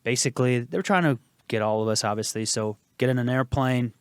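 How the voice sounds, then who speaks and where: A man in his thirties from Afghanistan, a podcast guest telling his life story in a relaxed way, repeating phrases and fillers. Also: medium pitch, a little fast, clear, resonant tone, no echo, clean studio sound. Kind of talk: casual storytelling interview. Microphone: podcast studio mic.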